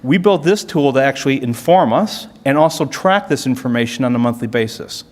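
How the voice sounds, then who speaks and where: Man, medium pitch, business conference presenter, explanatory delivery, long hall reverb. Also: expressive, brisk. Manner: explanatory, poses questions then answers them. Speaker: corporate analytics presenter.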